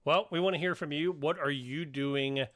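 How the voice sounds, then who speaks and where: A man's voice: a little high, fairly even intonation, normal speed, fairly bright tone, no echo, clean studio sound. A man hosting a podcast discussion, moderating and talking through points with co-hosts. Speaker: podcast host.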